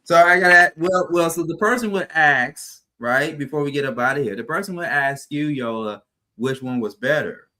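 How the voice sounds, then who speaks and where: A man's voice: a little high, normal speed, sharp tone. A man who hosts a morning talk show and asks the group reflective questions in a casual tone. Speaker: broadcaster and host.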